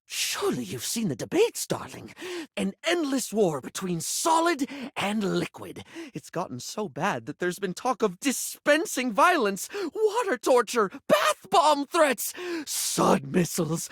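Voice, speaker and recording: Flamboyant, scatterbrained dating-game charmer. Wildly animated pitch, chatty and affectionate, close dry sound. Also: male voice, no echo, full character voice. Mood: enthusiastic, dramatic. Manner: chatty, rambling, effusive, stammering when flustered. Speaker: video game voice actor. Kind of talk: animated video game character dialogue.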